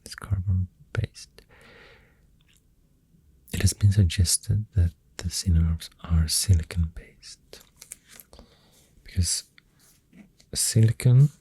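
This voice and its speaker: An ASMR host with a Swedish accent, rambling softly and hesitantly in a breathy voice that often drops to a whisper, close to the mic over pencil sounds. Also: sits low. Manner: hesitant, unhurried musing. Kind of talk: soft-spoken ASMR rambling. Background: pencil drawing and clicking sounds.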